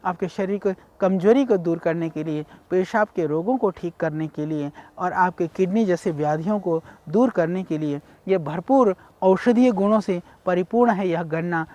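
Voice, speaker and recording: A man from India giving a measured, explanatory health talk on ayurveda, speaking directly to viewers. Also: high-pitched, warm tone. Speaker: ayurveda teacher.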